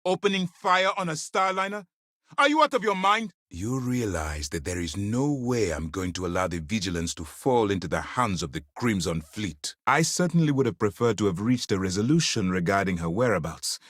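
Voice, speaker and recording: A man's voice: low-pitched, lively intonation, normal speed, hardly any pauses, dry recording, clean studio sound. An actor playing a stern male space navy commander in a video game, speaking in a formal, authoritative way with clipped orders. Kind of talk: scripted game dialogue, dramatic military acting.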